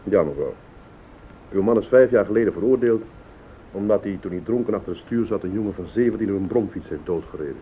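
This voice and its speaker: Male actor as radio-play private investigator: deepish, quick, formal, composed, methodical questioner. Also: expressive, lightly acted. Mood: grave, explaining. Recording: tinny old radio recording, hiss, rumble.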